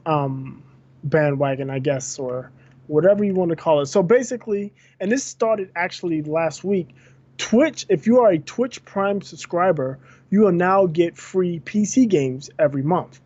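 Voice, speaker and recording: A man's voice: a little high, measured pace, warm tone, lo-fi sound. A man co-hosting a gaming podcast, going through news items with frequent fillers and self-corrections. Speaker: podcast co-host.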